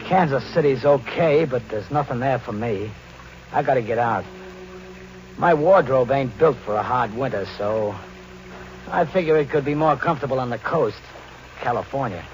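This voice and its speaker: An actor playing a streetwise, jumpy young American drifter in an old radio thriller, talking in colloquial style. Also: male voice, medium pitch, lively intonation, moderate pace, lightly acted. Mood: easygoing. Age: young adult. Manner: colloquial first-person storytelling. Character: streetwise, jumpy twenty-one-year-old male drifter riding freight trains. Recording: dull, noisy old radio recording.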